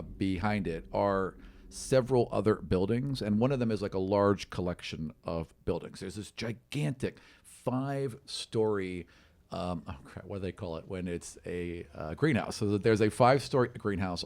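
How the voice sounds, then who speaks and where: Low-voiced man narrating as game master. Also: darkish tone, a little hiss or hum. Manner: conversational, descriptive, searching for words. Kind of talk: improvised narration of a tabletop fantasy game.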